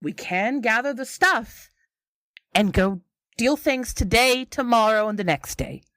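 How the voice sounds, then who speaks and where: A woman's voice: fairly high pitch, lively intonation, measured pace, a bit bright, no room sound, studio quality. A woman playing a firm, protective friend in an improvised tabletop adventure, scolding and reassuring emphatically. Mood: insistent, protective. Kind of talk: in-character improvised tabletop roleplay.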